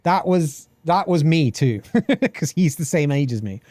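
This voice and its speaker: A British man in his thirties talks loosely to his live-stream chat, swearing and laughing as he goes. His voice swoops up and down with real liveliness and sits a little on the high side. The sound is dry and close, with no room around it. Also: unhurried, no room sound. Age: 30s. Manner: animated, swearing, reads chat aloud and laughs often. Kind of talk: casual live-stream chat with game lore reading.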